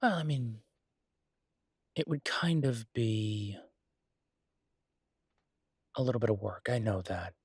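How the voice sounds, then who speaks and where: A man playing an easygoing, earnest chef boyfriend in an intimate roleplay audio drama, talking casually and haltingly with a husky, reassuring voice. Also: mid-range voice, expressive, no echo, studio quality, exaggerated voice. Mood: earnest, persuasive.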